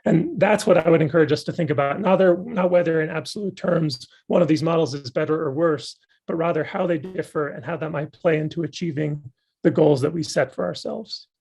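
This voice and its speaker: A man's voice: on the high side, fairly quick, darkish tone, low-quality recording. A man losing his voice, thoughtfully and enthusiastically answering audience questions after giving a talk. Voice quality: gravelly and husky. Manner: thoughtful, enthusiastic academic explaining. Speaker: guest speaker.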